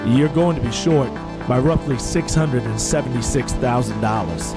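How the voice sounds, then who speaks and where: A man plays an anxious, deferential money man in an audio drama. He speaks in a formal, hesitant, apologetic way at an ordinary male pitch. Loud plucked guitar music plays behind him. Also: normal speed, natural voice, rough recording. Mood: nervous, grave. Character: anxious, deferential male finance man reporting bad news.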